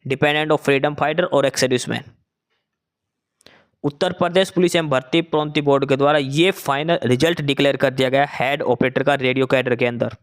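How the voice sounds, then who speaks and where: A man's voice: medium pitch, steady tone, normal speed. Chatty man reading out exam results. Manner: repetitive, restarts often, mixes English numbers into Hindi. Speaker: exam results channel host. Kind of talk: casual explainer reading out results.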